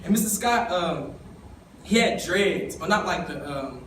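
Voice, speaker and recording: A man's voice: sits high, expressive, a little slow, a bit bright, slight background noise. A young man from East gives an emotional, conversational talk about his own life and speaks directly to his audience. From East Baltimore. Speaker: summit speaker. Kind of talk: personal storytelling speech. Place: conference hall. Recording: echoey room, voice from a distance.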